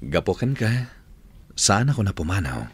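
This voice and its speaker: A Filipino actor playing an earnest, possessive suitor in love in a radio drama, with music behind him. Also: male voice, on the low side, sing-song, normal speed, breathy edge, dry recording. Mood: tender. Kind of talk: Ilocano radio drama acting.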